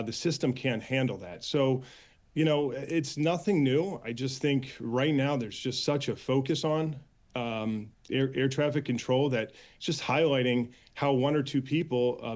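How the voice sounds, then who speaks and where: An American man answering as an interview guest and union spokesman, earnest and halting, with frequent filler pauses. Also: medium pitch, a little mellow. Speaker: air traffic controllers' union official, interview guest.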